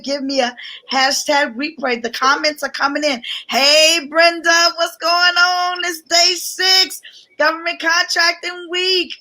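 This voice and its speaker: A woman who sounds a little hoarse, speaking energetically on a live stream, greeting viewers and repeating herself with excitement. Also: sits high. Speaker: guest business coach. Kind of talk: live-stream promotional talk.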